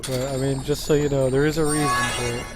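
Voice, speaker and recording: A man talks his way through a video game in a relaxed, low-key way, his voice at an ordinary middle pitch. He sounds a bit tired and almost monotone. Loud game music plays behind him. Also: quick pace. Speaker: let's play host. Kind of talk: casual gameplay commentary.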